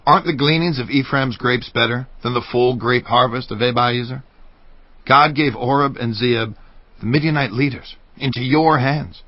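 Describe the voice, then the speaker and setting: An actor playing a battle-weary, stern war leader in a dramatized scripture reading, speaking in a deliberate, declamatory way. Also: male voice, mid-range voice, dry recording, natural voice. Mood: placating. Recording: dull audio with music underneath. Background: music.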